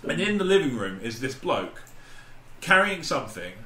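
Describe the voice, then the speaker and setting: A man's voice: medium pitch, big pitch swings, moderate pace, sharp tone. A man chatting casually as a podcast host among friends, telling stories in a sweary, joking way. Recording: echoey room, faint background noise.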